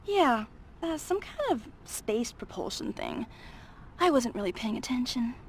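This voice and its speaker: High, lilting, teasing antihero. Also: female voice, expressive, a little slow, slight background noise. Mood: playful. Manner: playful, teasing. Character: flirty, teasing, thrill-seeking woman antihero. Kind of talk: video game voice acting. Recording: music behind the voice.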